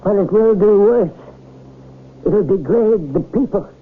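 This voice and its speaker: An actor playing an earnest, alarmed former research chief giving insistent, ominous warnings in an old-time radio drama. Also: male voice, sits high, expressive, a little slow, slightly rough, heavily acted. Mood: urgent warning. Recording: muffled old radio broadcast recording.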